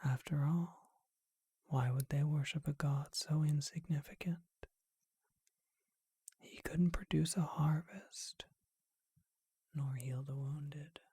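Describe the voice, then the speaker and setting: A performer voicing a charming vampire who tells an old tale in a gentle, breathy ASMR whisper, unhurried and reflective. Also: medium pitch, fairly even intonation, dry recording, heavily acted. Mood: hushed storytelling.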